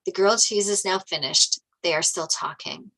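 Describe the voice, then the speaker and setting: A woman's voice: mid-range voice, normal speed, fairly bright tone, poor audio. A woman reading screenplay stage directions aloud as scripted narration.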